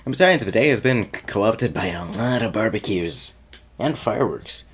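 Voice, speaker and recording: A man playing an easygoing, teasing young guy sweet on his friend, talking casually and haltingly in an audio roleplay. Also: fairly low pitch, lively intonation, husky. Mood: teasing, nervous. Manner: halting and stammering, chuckling, casual slangy speech.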